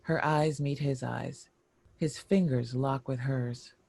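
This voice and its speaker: A man reading scripted screenplay stage directions in a measured, descriptive narration. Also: mid-range voice, steady tone, creaky, a little mellow.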